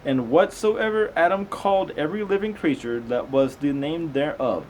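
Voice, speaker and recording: Halting man reading aloud, noisy recording. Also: on the high side, darkish tone. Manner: halting reader, stumbles over unfamiliar words; casual, conversational asides. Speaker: amateur host reading aloud and commenting. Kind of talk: reading scripture aloud with casual commentary.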